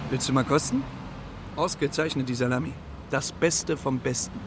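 A man's voice: mid-range voice, fairly even intonation, moderate pace, very noisy, natural voice. A man as a cocky, scrappy street pigeon. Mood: cocky, dazed. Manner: swaggering, clipped, colloquial. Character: cocky scrappy male street pigeon, boastful and gruff-hearted. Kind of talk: children's radio play acting.

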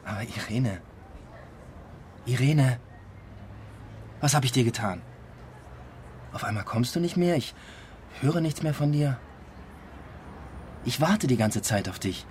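An actor playing a needy, bewildered rejected lover in a radio drama, pleading insistently. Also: male voice, mid-range voice, darkish tone, a little hiss or hum, natural voice.

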